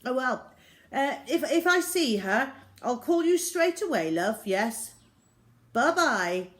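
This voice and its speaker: A woman's voice: sits high, big pitch swings, slow, voice pushed up, full character voice. A woman voicing an anxious, muddled grown man, a hesitant father in a children's storybook. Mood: falsely affectionate. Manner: hesitant, flustered.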